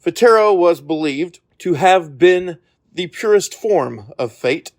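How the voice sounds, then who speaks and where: A man reading scripted fantasy audiobook narration in a measured storytelling manner. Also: high voice, animated.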